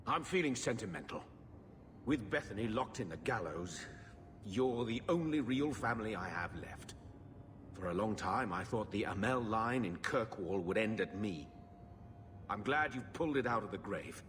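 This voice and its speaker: A worn middle-aged uncle in a fantasy game, gruffly sentimental and plain-spoken. The voice has a long reverb and faint noise behind it. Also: male voice, fairly low pitch, animated, moderate pace, fairly bright tone. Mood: grudgingly fond.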